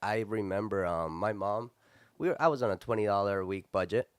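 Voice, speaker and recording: Low smooth-voiced man. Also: brisk, dry recording, studio quality. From Colombia. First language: Spanish. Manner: earnest, reflective storytelling. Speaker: podcast host. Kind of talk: casual interview chat.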